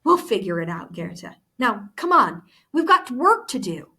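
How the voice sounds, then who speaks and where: A woman's voice: fairly high pitch, very animated, moderate pace, bright, forceful tone, slight background noise, heavily acted. A woman reading the part of an optimistic, teasing older brother in a storybook, reassuring and upbeat. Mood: confident.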